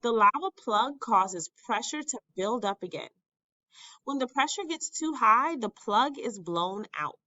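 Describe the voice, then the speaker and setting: Bright-voiced woman reading slowly aloud. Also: a little high, expressive, dry recording, dull audio. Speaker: teacher reading a textbook aloud. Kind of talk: educational read-aloud narration.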